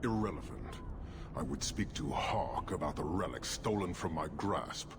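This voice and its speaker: An actor playing a stern, imperious male warlord who speaks in a cold, measured way with a very deep, gravelly voice. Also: animated. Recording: game audio with noisy ambience.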